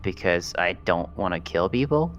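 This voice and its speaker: A man with a slightly low voice chats casually as a player in a tabletop game, with faint music behind him. He reasons with the others, often by asking questions. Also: lively intonation, moderate pace, muffled recording.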